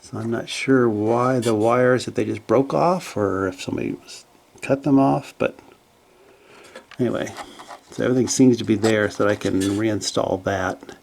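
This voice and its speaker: Low, gravelly man thinking aloud. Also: normal speed, darkish tone, a little hiss or hum. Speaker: hobbyist electronics repairer presenting his project. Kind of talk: casual, unscripted workbench narration. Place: small indoor home workshop at a bench.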